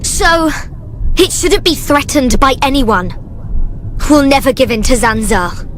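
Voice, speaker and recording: Very high-pitched, passionate young heroine. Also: female voice, expressive, measured pace, fairly bright tone, a little hiss or hum. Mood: defiant passion. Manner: earnest, emotional. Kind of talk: video game cutscene acting.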